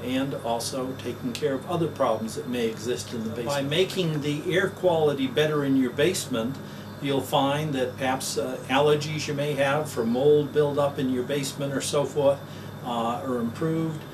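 A man's voice: mid-range voice, steady tone, moderate pace. Older salesman, distant mic, fan hum. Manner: plain folksy sales talk with filler "uh"s. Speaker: business owner pitching his product. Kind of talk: promotional demonstration. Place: office, then a basement demo wall indoors.

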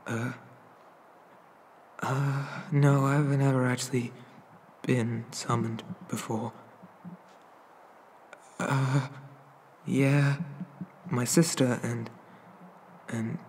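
A man playing a shy, awkward, inexperienced young incubus demon in an ASMR roleplay, stammering and apologetic, with a husky, breathy voice. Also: medium pitch, a little mellow, in a large hall, a little hiss or hum.

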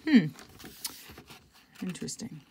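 A woman reading a picture book aloud to children, conversationally, pausing for casual asides and check-in questions. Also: warm tone, slight background noise. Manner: conversational, pointing things out and asking check-in questions. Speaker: intervention specialist reading to children.

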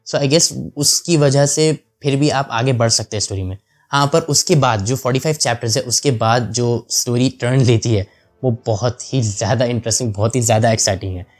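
A man's voice: mid-range voice, darkish tone. A young man casually reviewing anime in a voice-over, chatty and full of fillers, switching into English now and then. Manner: chatty, mixes English into Hindi, frequent fillers. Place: voice-over over gameplay footage.

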